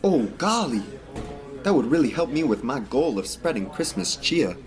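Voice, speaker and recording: A man's voice: medium pitch, sing-song, normal speed, soft background music, close to an everyday voice. A man playing a naive, eager young Christmas lover in an amateur radio drama, earnest and wide-eyed. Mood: eager, amazed.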